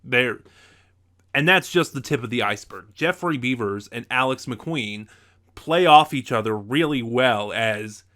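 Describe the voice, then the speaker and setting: A man giving an opinionated review, speaking in a measured way with emphatic stresses on key words. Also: mid-range voice, pushed and bright.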